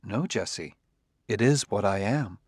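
Man voicing a soft, impassive, polite robot. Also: animated, husky, no room sound, clean studio sound, full character voice. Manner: low murmur, measured and formal. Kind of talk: audiobook character acting.

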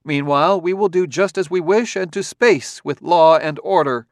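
A cool humanoid robot voiced by a man gives orders in crisp, measured sentences, neither harsh nor angry, with steady authority. The voice sits a little higher and louder than the reader's own, and the sound is dry and close. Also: no echo, natural voice. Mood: cool command. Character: calm, commanding humanoid male robot.

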